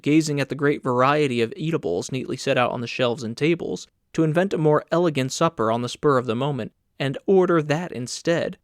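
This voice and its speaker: A man's voice: medium pitch, dry recording, clean studio sound. A man reading scripted literary narration as an audiobook narrator.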